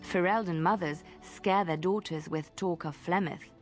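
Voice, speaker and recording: An actress playing a solemn storyteller in a fantasy game, recounting an old dark legend in measured, lyrical phrases. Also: female voice, fairly low pitch, soft background music, lightly acted. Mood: solemn storytelling.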